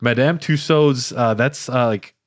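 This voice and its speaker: A man of average pitch talks into a dry, echo-free recording. He co-hosts a casual podcast, reading summaries and dropping deadpan jokes along the way. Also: measured pace. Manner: joking, deadpan quips. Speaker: podcast co-host. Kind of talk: casual podcast chat with read-out plot summaries.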